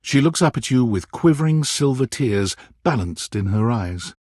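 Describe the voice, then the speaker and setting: A man giving scripted, theatrical narration for a game, telling the story in an expressive, dramatic way. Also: medium pitch, moderate pace, dry recording, studio quality.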